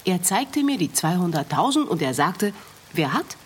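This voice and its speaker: A woman's voice: lowish voice, big pitch swings, normal speed, slightly rough. An actress playing a guarded, hesitant young woman with something to hide in a radio play. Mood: hushed, shaken. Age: young adult. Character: guarded young woman, a runaway thief confronted by detective.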